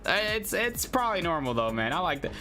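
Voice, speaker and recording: A man hosts a casual game livestream, talking to chat in a slangy, joking way. His pitch moves around in a lively way, with music playing behind him. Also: fast talker. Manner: slangy, jokey, addresses chat. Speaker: livestream host reading a visual novel aloud. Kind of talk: casual gaming livestream commentary and read-aloud.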